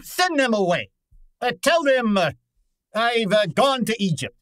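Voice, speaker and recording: An actor playing a pompous, cantankerous gourmet detective in a radio drama, with imperious, formal diction. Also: male voice, very high voice, big pitch swings, a little slow, fairly bright tone, full character voice. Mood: irritated. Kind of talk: old-time radio drama acting.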